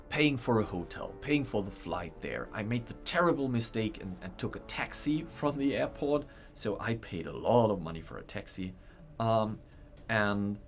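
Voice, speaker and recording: A man hosting a livestream, chatting casually in a rambling way with stumbling restarts and repeated words. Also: fairly low pitch. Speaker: livestream host showing map software. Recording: dull lo-fi sound with faint music.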